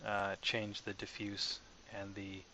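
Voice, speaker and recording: A man narrating a casual software tutorial as the developer, informal and hesitant, as if thinking aloud. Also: on the low side, monotone, slow pace. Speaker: software developer presenting own asset package. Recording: dull lo-fi mic with faint background noise.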